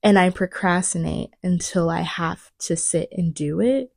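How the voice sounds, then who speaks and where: A woman's voice: fairly low pitch, unhurried, gravelly, a little mellow, no echo, clean studio sound. A young woman co-hosting a podcast in casual chat, searching for words and trailing off, with a lingering cough. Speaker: podcast co-host.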